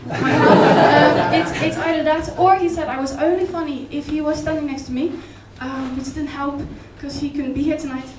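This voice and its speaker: A woman with a Dutch accent performing a rambling, hesitant stand-up set in a deadpan, absurd way. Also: sits high, moderate pace, few pauses, roomy sound, distant mic, slight background noise. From Netherlands. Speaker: stand-up comedian. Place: comedy club stage. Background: audience laughter.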